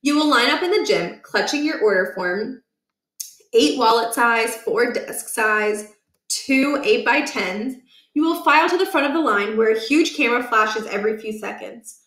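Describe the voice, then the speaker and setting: A woman narrates a children's book expressively, in a slightly high voice. Her phrasing is measured and emphatic, and the room adds a clear echo to the recording. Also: animated, fairly bright tone. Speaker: storyteller reading aloud.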